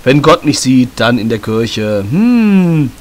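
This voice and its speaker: A man with a slight cold commenting casually and sarcastically on a story game, often clearing his throat. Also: mid-range voice, sing-song, slow. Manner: chatty, joking, sarcastic. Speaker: let's play host. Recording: hissy home mic.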